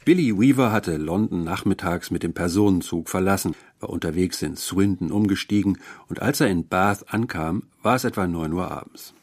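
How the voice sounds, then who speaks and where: A man reading a literary short story as a narrator, with measured, carefully articulated delivery. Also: deep voice, a little mellow. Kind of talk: scripted literary audiobook narration.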